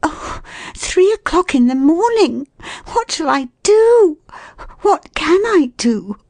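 A woman's voice: sits high, lively intonation, a little slow, darkish tone, no room sound, voice pushed up. A woman voicing a flustered, genteel lady in a storybook, piteous and over-polite, with a quavering delivery. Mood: frantic. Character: flustered, prim, pitiful lady apologising to a stranger. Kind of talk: storybook character voice.

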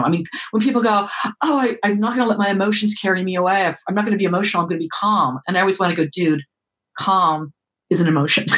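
An animated, emphatic woman author talking as a guest on an interview podcast. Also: fairly low pitch, slightly rough. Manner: animated, emphatic, quick bursts of speech.

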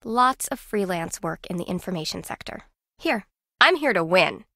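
A woman playing an aloof, competitive, secretive contestant in a video game, with a deadpan, clipped manner. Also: on the low side, moderate pace, vocal fry, dry recording, clean studio sound, heavily acted. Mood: cool, dismissive. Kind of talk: video game character acting.

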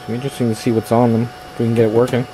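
A man giving casual, halting hands-on repair commentary, thinking aloud as he works in a small workshop. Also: medium pitch. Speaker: electronics repair hobbyist hosting a video. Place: small indoor workshop at a workbench. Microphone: handheld camera's built-in microphone. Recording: noisy handheld camera sound, steady background hum.

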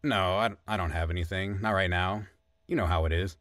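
Audio drama: male actor as struggling musician, thirtyish, hesitant, wistful, lower register, very dry recording. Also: fairly even intonation, a little fast, a bit bright, dry recording. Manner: hesitant, reflective.

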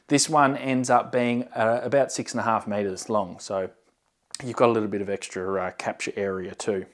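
A man in his thirties talking casually to camera as a hobbyist video host, giving a hands-on show-and-tell. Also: medium pitch. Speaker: amateur radio hobbyist and channel host. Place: indoor workshop storeroom with shelving. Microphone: lapel mic.

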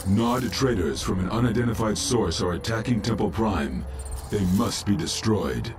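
An actor playing a calm military battle computer, giving clipped, authoritative announcements in a video game. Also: male voice, lowish voice, normal speed, slight background noise, natural voice. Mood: impersonal, authoritative.

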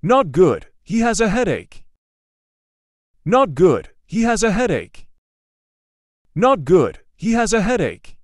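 A man's voice: high-pitched, big pitch swings, slow, pauses often, no echo, studio quality. A man reading a simple English dialogue for learners, carefully enunciated and repeating each line. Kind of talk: slow scripted dialogue reading.

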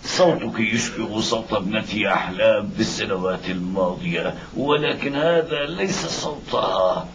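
An actor playing a humble, pious, blind old father in a dramatic cartoon dub, with groans and drawn-out exclamations. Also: fairly low pitch, fairly bright tone, slight background noise, lightly acted. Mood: sorrowful disbelief. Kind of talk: animated drama dub acting. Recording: dull lo-fi cartoon soundtrack.

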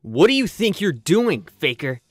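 A man's voice: high voice, big pitch swings, moderate pace, no room sound, studio quality, faint music behind. A man voicing a hot-headed, protective young hedgehog hero in a cartoon comic dub, dramatic and earnest. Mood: angry, taunting. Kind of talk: fan comic dub acting.

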